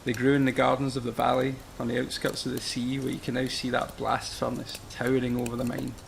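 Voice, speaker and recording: A man playing a solemn, mournful figure in a radio play, speaking gravely and poetically with a gravelly, creaky voice. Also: medium pitch, monotone, fairly quick, a little hiss or hum. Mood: wistful, mournful. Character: solemn, mournful man recalling a dying ancient land.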